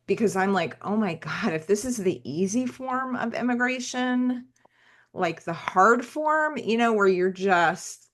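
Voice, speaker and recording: An American woman speaks as a podcast guest in a slightly low voice. She rambles, stacking asides and restarts, with lively swings in her delivery. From United States. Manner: talkative, rambling, animated asides. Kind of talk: conversational podcast interview.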